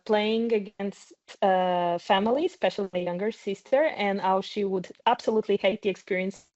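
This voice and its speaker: A woman who speaks Portuguese natively gives interview answers as a podcast guest. Her voice is mellow and mid-pitched, and her phrasing is halting as she searches for words. The sound is a slightly dull, lo-fi online call. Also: steady tone. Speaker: podcast guest, games industry business development manager. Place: remote video call.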